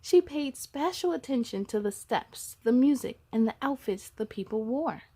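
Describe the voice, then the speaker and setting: A high-pitched woman's voice hosts a children's storytime. She reads from a picture book in an animated manner, talking straight to her young listeners. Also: normal speed, husky. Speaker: storytime host reading a picture book aloud. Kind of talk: children's read-aloud with asides.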